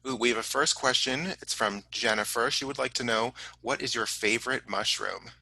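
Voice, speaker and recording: Bright, crisp male host with creaky edges. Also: medium pitch, normal speed, dry recording, rough recording. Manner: encouraging, appreciative. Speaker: host of an online children's science story event. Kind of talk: casual audience Q&A moderating.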